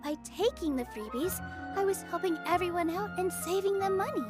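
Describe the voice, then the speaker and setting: A woman playing a sweet, gracious young dancer, speaking in a gentle, polite and earnest way in an anime game. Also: very high-pitched, lively intonation, a little fast. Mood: warm, explaining. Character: sweet, gracious young female theater dancer, sincere and friendly. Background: game music.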